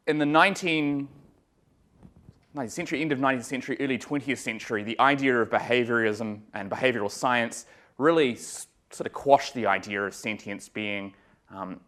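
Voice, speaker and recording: Low-voiced New Zealand man lecturing. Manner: relaxed, lots of ums and uhs, dry humor. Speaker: law lecturer giving a guest talk. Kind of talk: academic lecture. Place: lecture room.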